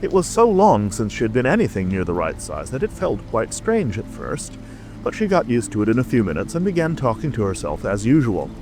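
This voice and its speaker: A man's voice: medium pitch, wildly swooping pitch, brisk, over music. A man narrating a classic storybook as a scripted audiobook.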